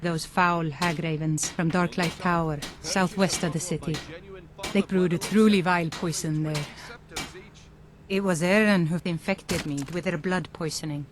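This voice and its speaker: A woman's voice: lowish voice, fairly even intonation, unhurried, background noise, lightly acted. An actress voicing a young woman in a video game who is poisoned and controlled by a sorcerer, speaking in a weary, grim way. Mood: bitter.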